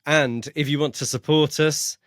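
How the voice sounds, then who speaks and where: A man's voice: a little high, steady tone, normal speed, a bit bright, no room sound. A man co-hosting a book podcast in a casual, self-deprecating and slightly hesitant way. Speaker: podcast co-host.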